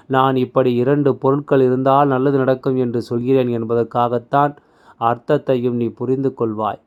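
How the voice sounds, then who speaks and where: A man's voice: mid-range voice, darkish tone, smooth. A man delivering a spiritual motivational monologue, exhorting the listener repeatedly as if speaking to a child. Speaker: devotional channel speaker delivering messages in a deity's voice.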